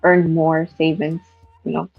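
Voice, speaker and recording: A woman's voice: sits low, level tone, unhurried, creaky, narrow, tinny audio, faint music behind. A woman guest in a casual podcast chat, hesitant and filling her speech with "like". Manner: hesitant, heavy use of "like".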